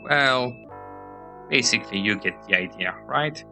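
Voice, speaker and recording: A man giving rambling let's-play commentary on a game, hoarse as he loses his voice, reading menus and game text aloud. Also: mid-range voice, animated, a little slow, bright, forceful tone, faint music behind. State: hoarse, losing voice. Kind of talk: casual gameplay commentary.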